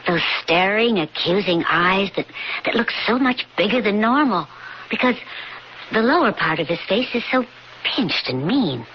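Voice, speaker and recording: Actress as a devoted wife, muffled radio sound. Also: female voice, mid-range voice, animated, moderate pace, lightly acted. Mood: fascinated, uneasy. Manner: earnest, coaxing, dramatic emphasis. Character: devoted, admiring lawyer wife, earnest and encouraging. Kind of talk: 1980s radio drama acting. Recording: dull, hissy old radio broadcast recording.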